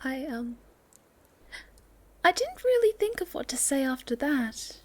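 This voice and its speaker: Woman playing a high-voiced, shy, sweet moth girl. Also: sing-song, unhurried. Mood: shy, nervous. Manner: hesitant, stammering, polite, soft-spoken. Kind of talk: ASMR roleplay acting.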